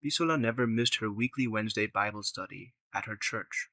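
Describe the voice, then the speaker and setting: A man's voice: on the low side, a little fast, pushed and bright, dry recording. A man narrating a scripted story as the narrator of an audio drama.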